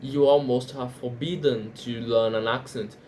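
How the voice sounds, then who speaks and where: A Brazilian man speaking English as a second language, his first being Portuguese, in an unscripted monologue, often halting and restarting his sentences. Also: medium pitch, steady tone, a little mellow, a little hiss or hum. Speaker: language learner vlogger.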